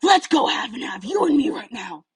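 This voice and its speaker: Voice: medium pitch, sing-song, moderate pace, breathy edge, no echo, pitched above the performer's own voice, full character voice. A woman voicing a furious, combative teenage boy who taunts in a storybook reading. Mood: furious, challenging. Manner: shouting, taunting.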